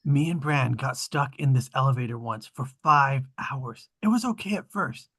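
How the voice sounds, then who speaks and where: A man reading the part of an earnest, excitable young adventurer boy at a film-script table read, with a gravelly, husky voice. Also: medium pitch, moderate pace. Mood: earnest explaining.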